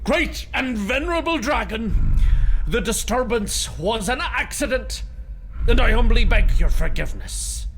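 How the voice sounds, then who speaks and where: A man's voice: very high voice, animated, measured pace, noisy background, heavily acted. A man playing Maguire, a cautious negotiator who pleads in polite, formal speech. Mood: placating.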